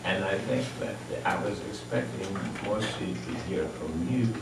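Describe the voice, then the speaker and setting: A hundred-year-old man from Egypt, reminiscing unscripted to an audience in a halting way, searching for his words. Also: low-pitched. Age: 100. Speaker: honoree at a birthday tribute. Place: large echoey room with an audience. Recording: echoey room, distant mic, background noise.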